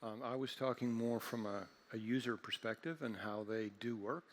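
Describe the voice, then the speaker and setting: A man speaking as a panelist, deliberate, with frequent ums as he explains. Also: mid-range voice, a little hiss or hum. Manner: deliberate, with fillers. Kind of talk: panel discussion.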